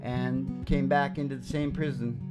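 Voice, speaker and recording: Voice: mid-range voice, steady tone, moderate pace. A host of a music rehearsal show chatting casually, telling rambling, salty, folksy stories between songs. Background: acoustic guitar.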